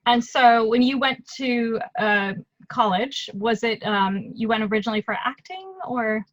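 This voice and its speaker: A woman hosting a casual interview, chatting in an enthusiastic, lively way. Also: fairly high pitch, unhurried. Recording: dull, dry lo-fi audio.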